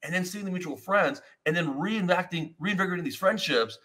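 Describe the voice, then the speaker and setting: A man's voice: sits high, fairly quick. A man in his thirties hosting a casual podcast from his home studio, talking energetically and rambling through stories over a video call. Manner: energetic, rambling, expressive hand gestures. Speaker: podcast host. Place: home studio with foam-panel wall, indoors.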